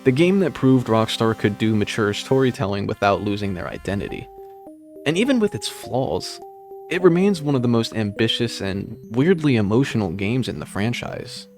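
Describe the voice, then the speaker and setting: A man reading a scripted video essay in a dry, wry, measured way. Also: fairly low pitch, big pitch swings, a little mellow. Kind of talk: scripted narration. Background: background music.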